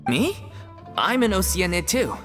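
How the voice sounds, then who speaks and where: A man playing an easygoing, good-natured young stage actor in a video game, laid-back and unhurried, with music behind. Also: high-pitched, big pitch swings. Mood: friendly.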